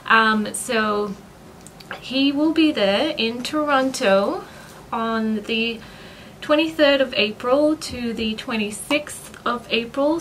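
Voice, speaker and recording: A woman's voice: fairly high pitch, a bit bright. A young woman vlogging to camera about her dog, chatty and full of fillers and light laughs. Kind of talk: casual vlog talk to camera. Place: living room floor, then a bedroom vanity. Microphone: camera across the room. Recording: roomy, distant, noisy.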